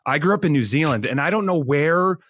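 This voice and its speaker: A man's voice: a little high, lively intonation, brisk, a bit bright, no room sound. Male podcast host from the Netherlands, chatty and upbeat, dry close sound. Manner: chatty, enthusiastic, self-deprecating. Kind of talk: casual interview chat.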